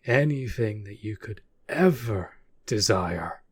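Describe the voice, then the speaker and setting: Casting: possessive male demon lover played by a man for audio roleplay. Low husky voice, unhurried, formal, intense. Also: expressive, full character voice. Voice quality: husky, breathy. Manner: slow, formal, deliberate, intense. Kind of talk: intimate scripted audio roleplay monologue.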